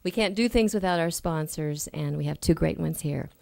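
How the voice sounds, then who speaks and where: A woman's voice: deep voice, normal speed, creaky, darkish tone. A woman emceeing an event, reading out names and an introduction, stumbling over a few names.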